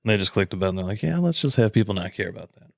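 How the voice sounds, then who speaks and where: A man's voice: on the low side, lively intonation, rapid-fire, gravelly, no room sound, studio quality. A North American man co-hosting a casual chat show, talking in a laid-back way with wry interjections. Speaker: podcast co-host.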